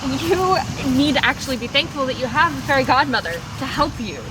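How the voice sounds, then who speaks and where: Woman as high-voiced exasperated fairytale heroine, very noisy. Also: expressive, a little fast. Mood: placating. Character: exasperated but caring young fairytale heroine. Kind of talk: amateur stage fairytale comedy. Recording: loud outdoor background noise, traffic rumble.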